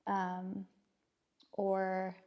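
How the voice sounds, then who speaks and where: A woman with a soft, gently creaky voice talks through her thoughts slowly, circling back with fillers and restarts. She is a playwright guest on a podcast. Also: medium pitch, monotone, highs cut off. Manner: hesitant and reflective, many fillers and restarts. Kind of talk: casual podcast conversation.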